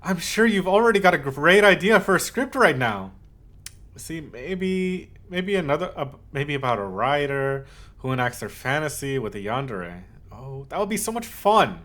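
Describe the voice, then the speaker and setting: A man's voice: a little high, sing-song. Man acting as a clingy, obsessive lovesick yandere. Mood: giddy, excited. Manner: halting, cooing endearments, swings from hushed to insistent. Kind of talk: scripted ASMR roleplay monologue.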